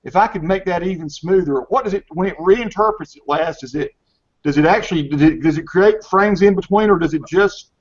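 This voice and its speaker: A Southern US man in his fifties hosts a casual video call chat, his voice sitting a little high. He talks in a chatty way and repeats words as he restarts. The call audio is dull and lo-fi. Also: a little fast. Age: 50s. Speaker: host.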